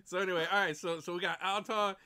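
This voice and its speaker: A man's voice: high voice, fairly even intonation, fast talker, noisy background. A man in his late forties hosting a casual livestream chat, rambling and repeating himself, laughing often. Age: 40s. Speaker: stream host.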